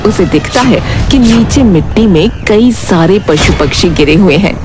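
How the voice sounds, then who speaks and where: Unhurried woman narrator over music. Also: mid-range voice, animated. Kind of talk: scripted dramatic story narration. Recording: prominent background music.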